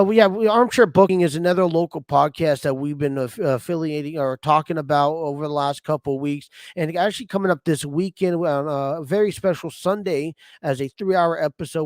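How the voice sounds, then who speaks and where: A man hosting a casual sports podcast, his voice a bit raised in pitch and a little hoarse from a lingering cold. He rambles through announcements with plenty of fillers and restarts, recorded close on a desk mic in a dry, dead room. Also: no room sound. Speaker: podcast host.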